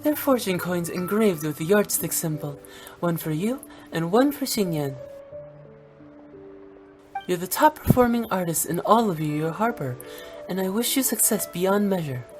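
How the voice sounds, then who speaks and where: A mischievous young funeral director teases and bubbles along, as a woman voices her. Her voice sits slightly low. Faint music plays behind her. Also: lively intonation, moderate pace, a little mellow, natural voice. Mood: playful. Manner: cheeky, bubbly and teasing. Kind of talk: video game voice acting.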